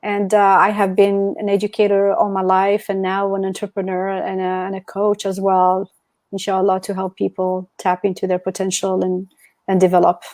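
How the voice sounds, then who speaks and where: A woman's voice: medium pitch, monotone, moderate pace, a little mellow. Algerian-born woman, reflective interview guest. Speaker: guest, business coach. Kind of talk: interview answers.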